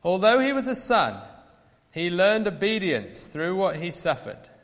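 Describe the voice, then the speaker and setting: A man reading scripture aloud in church, slow, solemn and lilting. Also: high-pitched, sing-song, a bit bright, long reverb tail. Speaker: Bible reader.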